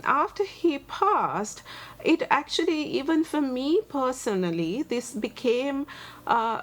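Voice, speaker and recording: A Sri Lankan woman in her forties with a Sri Lankan accent, a lawyer answering interview questions earnestly and thoughtfully, pausing on many fillers. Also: medium pitch. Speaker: guest, human rights lawyer and advocate.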